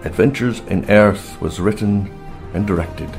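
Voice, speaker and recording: A man reading scripted closing credits as a radio-serial announcer in a measured, formal manner. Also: deep voice, a little mellow. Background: music.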